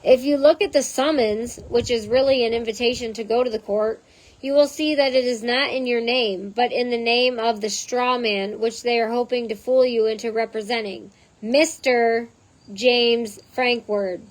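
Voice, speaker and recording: A woman with a hoarse voice reading a text aloud and breaking off into chatty side notes, as the host of her own channel. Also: on the high side, fairly even intonation, moderate pace, rough recording. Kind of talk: reading aloud with casual asides.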